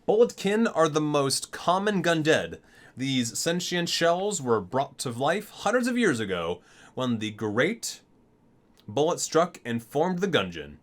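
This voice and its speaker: A man's voice: on the high side, sing-song. A man doing an ASMR read-aloud, mixing scripted lines with casual asides and often stumbling and correcting himself. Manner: halting, often repeats and corrects himself while reading. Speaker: video host reading aloud from a game book.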